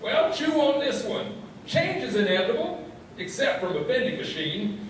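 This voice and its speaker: A man's voice: very high voice, big pitch swings, normal speed. A man playing a cranky, hard-of-hearing old small-town sage in a comedy radio play, rambling in a muddled, folksy way. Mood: self-satisfied, folksy. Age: elderly. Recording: very echoey hall, distant and noisy.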